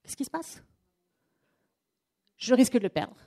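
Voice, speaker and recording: A woman presenting a live coaching workshop to a room of people, talking in a chatty, lively way and acting out what clients say. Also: a little high, a little slow, creaky. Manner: lively, chatty, acts out quotes. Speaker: trainer and coach. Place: training room with an audience.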